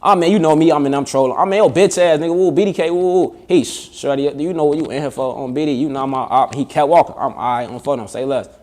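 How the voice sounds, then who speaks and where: Man, fast talker, somewhat high voice, swearing street slang, played clip. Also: darkish tone. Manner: rapid, swearing street talk. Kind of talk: casual talk in a played clip.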